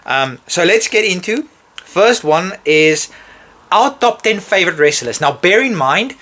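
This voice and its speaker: A young man hosting a laid-back chat, his voice sitting a little high. He talks playfully and chattily, often cutting himself off mid-sentence and starting again. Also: sing-song, a little slow, pushed and bright. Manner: chatty, playful, self-interrupting. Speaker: host. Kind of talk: casual chat.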